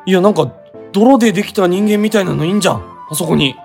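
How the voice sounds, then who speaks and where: A man's voice: high voice, fast talker, creaky, soft background music. An actor playing a naive, doubtful young man questioning his friend casually in a comic radio drama. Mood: insistent.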